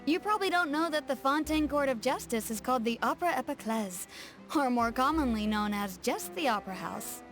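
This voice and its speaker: A woman's voice: high voice, animated, fairly quick, fairly bright tone. An actress playing a poised, articulate townswoman who explains things in scripted video game dialogue. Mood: composed, explanatory. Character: poised, well-spoken local townswoman, gently correcting. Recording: faint music behind.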